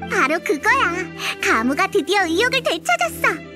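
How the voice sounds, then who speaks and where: A tiny, perky fairy companion from a video game. She squeaks at a very high, childlike pitch and swings animatedly, blunt and casual. Music plays clearly behind. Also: sing-song, moderate pace. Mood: delighted.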